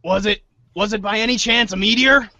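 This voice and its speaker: A man acting an earnest, excitable, rambling teenage boy hero in a storybook-style reader's theater. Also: sits high, fairly quick, no room sound, lo-fi sound. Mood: eager, sheepish.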